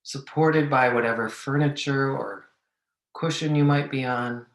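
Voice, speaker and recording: A man leading a guided meditation, giving gentle, measured instructions with long pauses. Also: mid-range voice, steady tone. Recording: echoey room.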